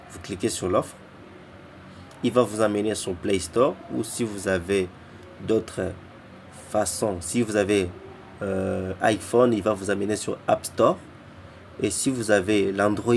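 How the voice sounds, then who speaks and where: Low gravelly man walking through an app. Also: darkish tone, a little hiss or hum. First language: not English. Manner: halting, repeats words and restarts phrases. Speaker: app tutorial presenter. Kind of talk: casual screen-recorded walkthrough.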